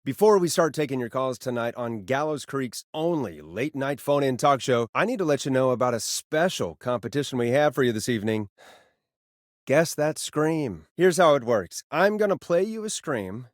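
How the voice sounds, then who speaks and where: An actor playing a wry, jaded male radio phone-in host in a video game, sardonic and expressive, moving between showy patter and casual talk. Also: medium pitch, moderate pace, no echo, studio quality, close to an everyday voice. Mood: showy, upbeat. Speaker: late-night radio host.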